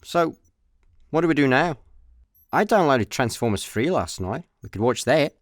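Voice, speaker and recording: An actor playing an easygoing, scatterbrained intergalactic slacker with a Scottish accent, chatty and deadpan in a comedic sci-fi radio play. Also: male voice, medium pitch, moderate pace, dry recording, studio quality, natural voice. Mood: flippant.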